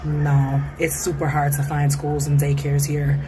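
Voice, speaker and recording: An American woman with a low voice speaks in a casual vlog monologue. Her delivery is informal and slangy, like everyday conversation. The recording has clear ambient noise behind her. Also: monotone, unhurried. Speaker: vlogger.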